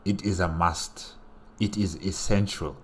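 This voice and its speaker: A man's voice: sits low, steady tone, unhurried, a little hiss or hum. A man giving casual reaction commentary as a solo host.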